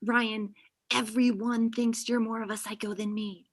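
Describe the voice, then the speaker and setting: A blunt young woman, played by an actress, with a voice on the high side. She is defensive and argumentative, as read in a comedy table read. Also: flat delivery, measured pace, a little hiss or hum, natural voice. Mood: insistent. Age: young adult. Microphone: video call.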